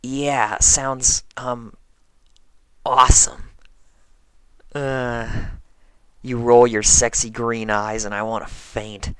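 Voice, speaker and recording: A man voicing a brash, lovestruck young female pegasus pony in a strained, raspy voice for a fan fiction reading. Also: mid-range voice, level tone, fairly bright tone, no room sound. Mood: smitten, flustered. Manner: halting, gushing, smitten. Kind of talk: first-person fan fiction reading in character.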